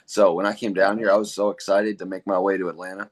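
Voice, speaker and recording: A man's voice: low-pitched, little pitch movement, fast. A man from New England, the band's vocalist, chatting loosely and swearing casually. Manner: laid-back, casual swearing, laughs often. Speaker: band vocalist guest. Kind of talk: casual interview chat.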